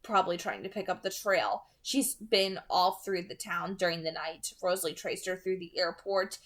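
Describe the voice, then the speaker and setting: A woman playing a brooding, protective young man in love, softly reassuring, in a novel read aloud. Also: on the low side, normal speed, natural voice. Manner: soft, reassuring, earnest. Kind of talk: audiobook-style character reading.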